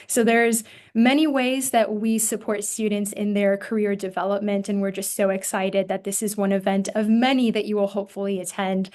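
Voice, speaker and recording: Even-toned woman career coach. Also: mid-range voice. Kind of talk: event welcome and announcements. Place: home or office on a video call. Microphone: video call.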